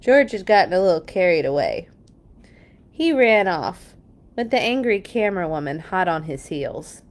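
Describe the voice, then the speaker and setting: A woman reading a children's story aloud in an expressive, playful way for young listeners. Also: fairly low pitch, normal speed. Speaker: children's read-along storyteller. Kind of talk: storybook read-aloud with animated asides.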